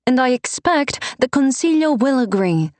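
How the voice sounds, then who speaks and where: A woman's voice: high-pitched, animated, normal speed, a little mellow, no echo, pitched above the performer's own voice. A woman voicing a cold, commanding noblewoman with a clipped, stern delivery.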